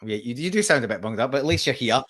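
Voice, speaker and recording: A man's voice: medium pitch, animated, very fast, a bit bright. A man in his forties hosting a fan podcast over a video call, chatty and jokey, with plenty of ums. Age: 40s. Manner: chatty, jokey, lots of fillers. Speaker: podcast host. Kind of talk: casual chat.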